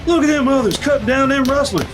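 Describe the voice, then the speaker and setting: An actor playing an excitable, rustic ranch hand in a western radio drama. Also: male voice, very high-pitched, fast talker, over music. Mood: excited. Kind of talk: old-west radio drama acting.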